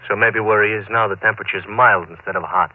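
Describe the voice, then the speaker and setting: An actor playing a good-natured, wisecracking working-class sidekick in a 1940s radio drama, talking in casual, deadpan slang. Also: male voice, lowish voice, fast talker, lightly acted. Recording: scratchy, muffled 1940s radio recording.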